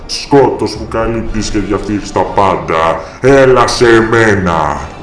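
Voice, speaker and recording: A man voicing a sinister cartoon sorcerer, chanting a dark spell slowly. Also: low voice, close to an everyday voice. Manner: slow ritual chanting. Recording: echoey, far from mic, noisy.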